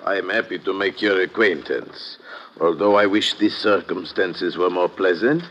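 A man's voice: low-pitched, normal speed, a bit bright, slight background noise. A man playing a formal, courteous doctor, in radio drama style. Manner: formal, polite. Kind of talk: 1950s radio drama acting. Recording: dull vintage radio broadcast.

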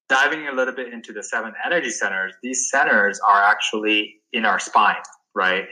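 A man's voice: lowish voice, sharp tone, poor audio. A man from Sri Lanka answering interview questions as a wellness guest, talking earnestly and stressing key words.

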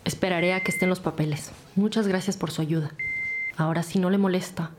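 A woman playing a bossy, impatient detective in a radio drama hospital scene. Her voice is fairly low and insistent, with clear beeping noise behind it. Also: fairly even intonation, a little fast, close to an everyday voice. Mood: impatient, firm.

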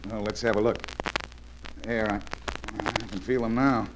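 An actor playing a steady, decent male adventure hero in an old radio serial, firm, reassuring and plain-spoken. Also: fairly low pitch, unhurried, natural voice. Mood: calm, probing. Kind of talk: old-time radio drama acting. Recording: noisy old radio recording.

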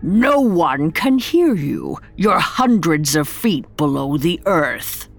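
A woman's voice: deep voice, big pitch swings, slow pace, gravelly, faint music behind, heavily acted. A woman voicing a pompous, portly old goblin king in a grand, commanding storybook manner. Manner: grand, authoritative, theatrical.

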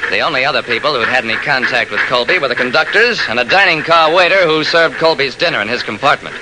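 An actor playing a brisk, methodical railroad detective who narrates his case in an emphatic old-time radio mystery style. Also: mid-range voice, animated, natural voice. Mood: probing, brisk. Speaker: narrator and lead investigator. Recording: noisy old radio drama recording.

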